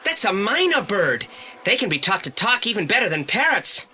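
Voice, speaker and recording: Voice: medium pitch, very animated, normal speed, bright, forceful tone, a little hiss or hum. An actor playing an articulate, decisive teenage boy detective in an audio play, speaking politely and with confidence. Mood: confident, inquisitive. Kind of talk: 1980s audio play acting.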